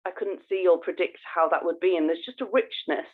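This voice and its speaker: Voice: medium pitch, brisk, phone-quality sound. A podcast co-host chatting casually and effusively, thinking aloud while talking.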